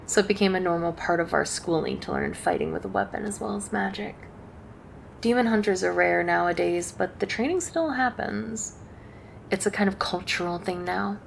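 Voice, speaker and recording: A woman voicing a friendly, playful and bashful succubus in a casual, chatty, gently teasing ASMR roleplay addressed to the listener. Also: medium pitch, normal speed, gravelly, a little hiss or hum. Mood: chatty, reminiscing.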